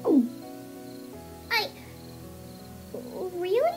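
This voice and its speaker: Bubbly young cartoon pony mare, extremely high squeaky voice, very animated, eager stammer, lovestruck. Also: female voice, extremely slow, heavily acted. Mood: flustered, hopeful. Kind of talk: dramatic fan fiction audio reading. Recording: music behind the voice.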